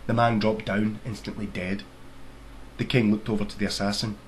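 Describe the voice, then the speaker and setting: A man's voice: on the low side, level tone, moderate pace, poor audio. Man narrating storybook, faint background noise. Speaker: author reading own book.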